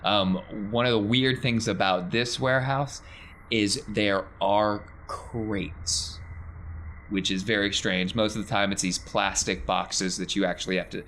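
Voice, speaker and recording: A man's voice: fairly low pitch, steady tone, unhurried, fairly bright tone, a little hiss or hum. A man working as game master, narrating improvised scenes in a conversational, descriptive way.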